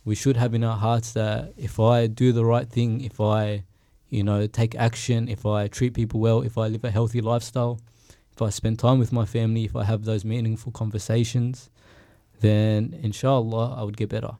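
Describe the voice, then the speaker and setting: A young man on a podcast panel who speaks earnestly and reflectively, at a steady pace. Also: lowish voice, normal speed, no echo. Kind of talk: casual panel discussion.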